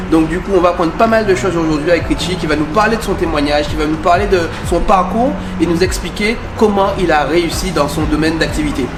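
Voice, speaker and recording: A man's voice: fairly high pitch, noisy background. A man hosting a conversational interview for his video channel. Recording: roomy echo, music behind. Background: music.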